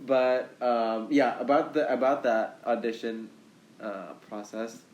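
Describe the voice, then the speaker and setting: A young man co-hosting a casual podcast chat, talking haltingly with lots of restarts and fillers. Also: medium pitch, steady tone, a little mellow, dull audio. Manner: halting, restarts often, many "like"s. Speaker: podcast co-host. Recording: echoey room.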